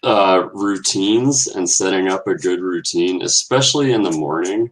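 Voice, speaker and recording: A man from Canada speaking casually as a meetup participant, introducing himself and sharing advice in a measured way with pauses. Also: deep voice, poor audio. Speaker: meetup participant, aspiring coach.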